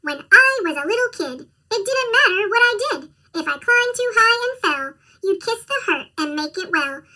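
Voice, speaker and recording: A woman's voice: very high voice, expressive, normal speed, hardly any pauses, bright, forceful tone, full character voice. A woman voicing a devoted, eager male goat in a children's storybook, with a sped-up chipmunk effect. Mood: joyful. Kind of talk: children's storybook read-aloud.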